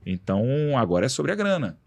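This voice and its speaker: A man being interviewed as a guest in a radio studio, explaining in a relaxed, slangy way with many restarts. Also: mid-range voice, fast talker, creaky, slightly rough. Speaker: guest, executive director of an institute.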